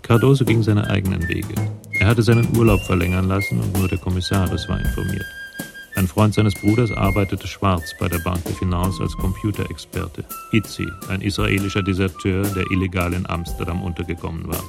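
A man narrating a radio crime play from a script in a measured, literary, matter-of-fact way. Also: deep voice, flat delivery, vocal fry. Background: music.

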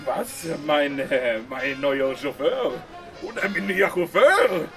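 An actor playing a flustered, excitable army major in a comic radio drama. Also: male voice, on the high side, faint music behind. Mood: stunned, smitten. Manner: barking, excitable, mixes in German.

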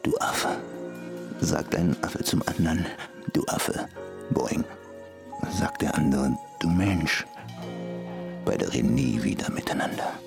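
A man playing a brooding ape-human hybrid in a radio drama, musing haltingly in fragments with a gravelly voice. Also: extremely low pitch, full character voice. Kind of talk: radio drama inner monologue. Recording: music behind the voice.

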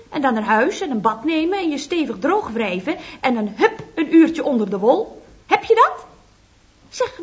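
A chatty, high-voiced secretary. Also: female voice. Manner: chatty, lively phone voice. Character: chatty, cheerful female office secretary. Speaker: office secretary answering the phone. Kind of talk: radio comedy sketch. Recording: lo-fi old radio recording.

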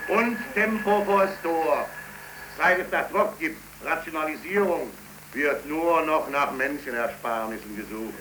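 An actor playing a grumbling older factory smith, scornful of the young, in 1930s German radio drama style. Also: male voice, a little high, unhurried, close to an everyday voice. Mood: bitter, scornful. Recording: echoey, noisy 1931 radio recording.

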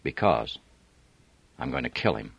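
A man voicing a blunt, determined young male hero close to his own deep voice. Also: very animated, a little slow, creaky, slightly rough, no echo, exaggerated voice. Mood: grim.

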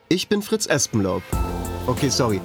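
A man's voice: medium pitch, very animated, a little slow. A man co-hosting a podcast in a conversational, lightly scripted trailer. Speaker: podcast host. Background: music.

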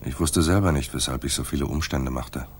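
An actor playing a world-weary, sardonic private detective who also narrates in a radio crime drama, with a dry, wry, clipped delivery. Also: male voice, very deep voice, brisk, very noisy. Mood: brooding narration.

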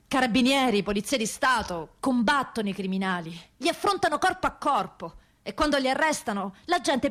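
A woman's voice: high voice, fairly bright tone. A woman playing an earnest prison police officer in their thirties with wounded pride, confiding plainly in a theatrical radio monologue. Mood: earnest, aggrieved. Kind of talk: theatrical first-person monologue.

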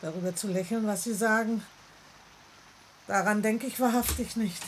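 A woman's voice: mid-range voice, normal speed, close to an everyday voice, low-quality recording. An actress playing an anxious, caring middle-aged mother in a German radio play, earnest and urgent. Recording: faint noise on old radio recording.

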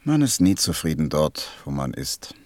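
A man playing a weary, matter-of-fact railway switchman in a German radio play, laconic and resigned, with a husky low voice. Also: sing-song, normal speed, no room sound.

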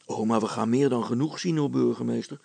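A man's voice: mid-range voice, fairly quick, vocal fry, darkish tone, breathy edge, no echo. A man voicing a cheerful, confident village smith and inventor in a storybook, breezy, reassuring and matter-of-fact.

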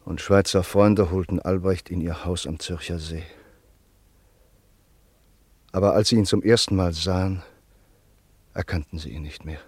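A man narrating a radio play in a measured, solemn way. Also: sits low, fairly even intonation, a little mellow, husky, no echo. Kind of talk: scripted narration.

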